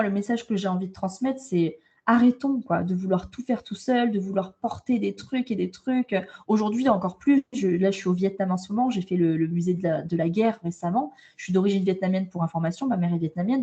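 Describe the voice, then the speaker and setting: A woman's voice: medium pitch, fast talker, few pauses, mellow, dull audio. A French woman coaching women, talking frankly and emphatically in a casual interview, often repeating her starts. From France. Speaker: guest, holistic coach. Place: travelling abroad, indoors.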